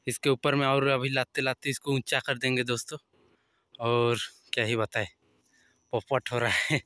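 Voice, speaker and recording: A man's voice: mid-range voice, level tone, moderate pace, sharp tone, no room sound. A young man, chatting to viewers on a village vlog while filming himself outdoors on a handheld phone. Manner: chatty, keeps addressing viewers as friends. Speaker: vlogger. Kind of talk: casual outdoor vlog narration. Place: outdoors in open farm fields. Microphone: phone selfie camera at arm's length.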